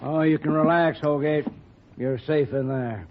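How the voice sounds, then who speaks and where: A man's voice: a little high, unhurried, close to an everyday voice. A man playing a wary small-town western sheriff in an old radio drama, with a folksy American drawl. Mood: wary, gruff. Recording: muffled, noisy old radio recording.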